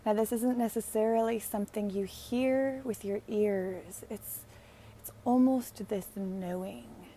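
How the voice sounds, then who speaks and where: A woman leading a guided meditation in a gentle, hushed, soothing way, leaving long pauses. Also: medium pitch, fairly even intonation, slight background noise.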